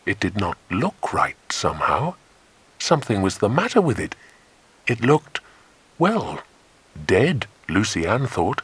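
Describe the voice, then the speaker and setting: A man narrating a children's adventure story in an expressive, scripted audiobook style. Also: lowish voice, a little slow, long pauses, breathy edge, no room sound.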